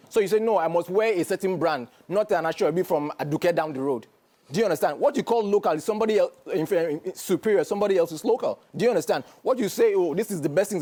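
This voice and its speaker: Nigerian male guest, high-pitched, mellow tone, rapid and preachy delivery. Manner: emphatic, lecturing, keeps asking "do you understand?". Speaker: guest commentator. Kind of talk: studio interview.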